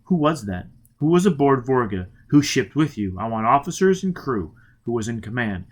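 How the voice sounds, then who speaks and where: A man's voice: mid-range voice, big pitch swings, moderate pace. A man reading a story and voicing a brutal, driven spaceman who barks clipped, demanding lines. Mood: demanding, hard. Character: brutal, driven male spaceman interrogating with growling menace.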